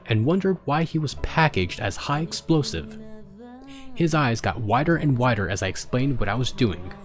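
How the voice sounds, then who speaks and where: A man narrating a story as he reads fan fiction aloud, sometimes stumbling and rereading a line. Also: medium pitch.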